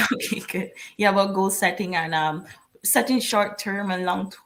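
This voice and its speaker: A woman from Jamaica answers questions on a video call in a chatty way and laughs often. Her voice is of middle pitch. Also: moderate pace, fairly bright tone. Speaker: interview guest. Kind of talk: casual video call interview.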